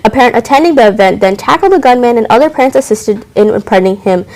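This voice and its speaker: A teenage girl anchoring a school news show, reading announcements briskly with occasional stumbles. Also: fairly high pitch, expressive, a little mellow. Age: teens.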